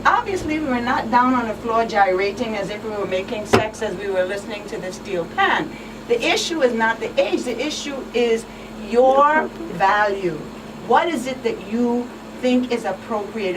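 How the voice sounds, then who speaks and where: A woman guest on a talk show, speaking earnestly and emphatically, with repeated phrases for emphasis. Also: on the high side. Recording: distant mic with clear background noise.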